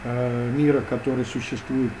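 A man talking as a guest in an interview, hesitant and full of drawn-out fillers. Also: medium pitch, little pitch movement, noisy background. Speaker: guest expert. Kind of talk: conversational interview.

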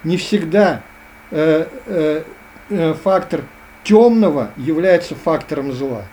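A man explains ideas earnestly, in a lecturing way that keeps returning to the same phrases. His voice is mellow and a little higher than most men's. A faint hiss sits under the recording. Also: takes it slowly. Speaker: guest. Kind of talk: philosophical interview talk.

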